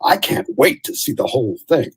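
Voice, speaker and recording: A man announces a theatre's radio show. His voice is fairly high and husky, and his pitch swings in a lively way. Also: moderate pace. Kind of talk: live theatre announcements and donation appeal.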